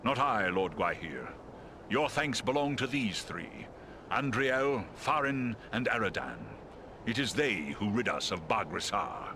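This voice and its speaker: An actor playing a proud, loyal giant eagle warrior in a fantasy game, speaking formally and earnestly. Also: male voice, lowish voice, sing-song, a little slow, noisy background. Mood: respectful, earnest. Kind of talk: fantasy game cutscene acting.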